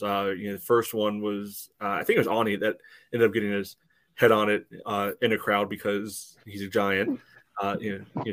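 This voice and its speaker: A man with a fairly low voice talks through match action as a podcast panelist. He is casual and halting, often padding his phrases with fillers. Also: a bit bright. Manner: halting, peppered with 'you know'.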